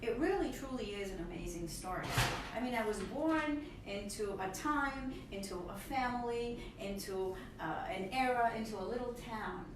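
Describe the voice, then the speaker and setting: Expressive woman in her fifties, echoey room. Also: a little high, moderate pace, hardly any pauses. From Ecuador. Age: 50s. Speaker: guest. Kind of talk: casual interview storytelling. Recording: echoey room, far from mic, noisy.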